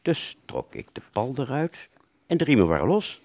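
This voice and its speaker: A man's voice: medium pitch, huge pitch swings, moderate pace, creaky, a little mellow, no room sound. A man narrating a first-person story for an audio play, hesitant and conversational, drawing out words and suddenly stressing others.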